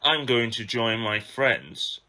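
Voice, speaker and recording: Man voicing a plain-spoken, bright-toned diesel engine. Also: medium pitch, lively intonation, slow, full character voice. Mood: cross.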